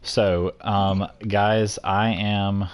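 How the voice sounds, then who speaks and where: A man hosting a live gaming stream, chatting casually with friends and reading out viewer comments in a deliberate, playful way. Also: on the low side, flat delivery, smooth.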